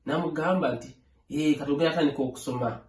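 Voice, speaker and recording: A man narrating a children's story aloud in a measured, phrase-by-phrase read-along style. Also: a little high, a little mellow. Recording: echoey room.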